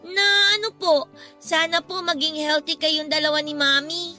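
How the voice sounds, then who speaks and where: A woman's voice: sits high, fairly even intonation, normal speed, bright, forceful tone. A woman playing a sweet, eager nine-year-old Filipino daughter in a radio drama. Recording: music bed under the voice.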